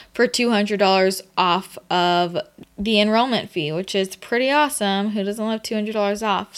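Woman, podcast host, enthusiastic, chatty, mid-pitched voice. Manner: enthusiastic, chatty, laughs while talking. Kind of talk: ad read and guest introduction.

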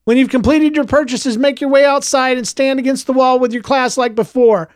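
A stern woman chaperone from a storybook, played by a man and pitched above his own voice. She gives orders in a flat monotone that leaves no room for argument. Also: fairly quick, no room sound, studio quality. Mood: commanding.

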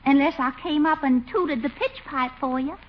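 A woman playing a gently coy ladyfriend. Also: high-pitched, moderate pace, a little hiss or hum, close to an everyday voice. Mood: gentle. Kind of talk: 1940s radio comedy acting. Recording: muffled old radio broadcast.

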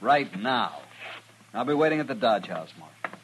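A man's voice: mid-range voice, sing-song, normal speed, a bit bright, slight background noise, low-quality recording. An actor playing a demanding, aggrieved man in a 1950s Western radio drama, blunt and insistent, on an old radio recording. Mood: angry, insistent. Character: demanding, aggrieved frontier man, adult male.

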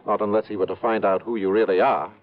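An actor with an American accent playing a dignified, courteous old bank president in a 1950s radio drama, formal and measured. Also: male voice, lowish voice, steady tone, faint music behind, close to an everyday voice. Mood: earnest, formal. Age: older. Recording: dull vintage radio recording.

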